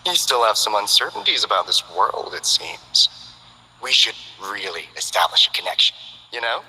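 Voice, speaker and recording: A sly, breezy info broker. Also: male voice, fairly low pitch, big pitch swings, moderate pace, bright, forceful tone, breathy edge, in a large hall, full character voice, low-quality recording. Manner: breezy, teasing, salesman-like banter. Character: sly, smooth-talking male info broker, friendly. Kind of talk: game character voice lines.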